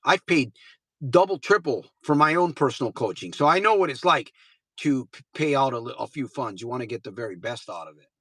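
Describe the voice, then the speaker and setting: A man's voice: medium pitch, lively intonation, fairly quick, fairly bright tone, breathy edge, no echo. A man in his fifties, a recovery coach giving an earnest motivational livestream talk, blunt and informal, repeating phrases for emphasis. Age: 50s. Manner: blunt and informal, swears casually.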